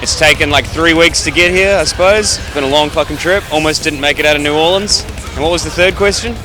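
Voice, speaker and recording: A man's voice: fairly high pitch, quick pace, background noise. A man with a New Zealand accent, chatting casually and laid-back in an outdoor street interview. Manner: laid-back, swearing, rambling. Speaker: festival attendee. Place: outdoor parking lot.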